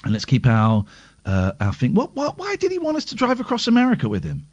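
A man hosting a late-night radio phone-in, rambling casually and cracking crude deadpan jokes. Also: high-pitched, brisk, muffled recording. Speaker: radio host.

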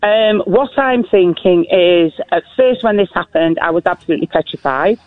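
Deep-voiced woman on a phone line. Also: lively intonation, moderate pace. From northern England. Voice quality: deep, slightly crackly voice. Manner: hesitant, nervous, apologetic. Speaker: caller. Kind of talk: phone-in call to a radio show.

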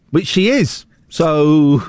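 A man with an English accent presenting a casual radio show in an excitable, rambling, jokey way. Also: on the high side, smooth, no echo, studio quality. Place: radio studio.

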